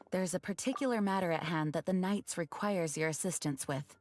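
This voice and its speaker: A woman playing an earnest, dutiful young knight commander in an anime video game, speaking in a measured, formal way. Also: medium pitch, darkish tone, dry recording, close to an everyday voice. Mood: weary, earnest. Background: background music.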